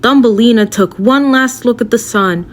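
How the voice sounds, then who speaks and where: A woman's voice: fairly high pitch, normal speed. A woman narrating a fairy tale in a casual, chatty way with playful asides. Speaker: storyteller.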